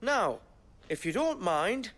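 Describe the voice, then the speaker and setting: An actor playing a cheeky, shifty young village rogue in a video game, with a glib, colloquial manner. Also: male voice, sits high, big pitch swings, slow pace, fairly bright tone, full character voice. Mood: breezy, defensive. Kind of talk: video game cutscene acting.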